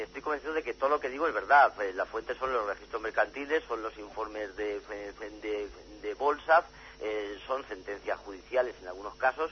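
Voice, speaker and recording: A man from Spain gives a radio interview as a journalist and author, stopping and restarting often before speeding through his points. The audio is dull and lo-fi, with a faint hum behind him. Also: mid-range voice. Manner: halting, many fillers and restarts, then rushes ahead.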